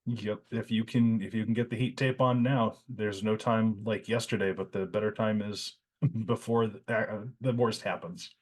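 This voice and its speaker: A man's voice: fairly low pitch, fairly even intonation, normal speed, slightly rough. A man hosting a podcast, chatting casually with his co-hosts in an easygoing way. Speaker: podcast host.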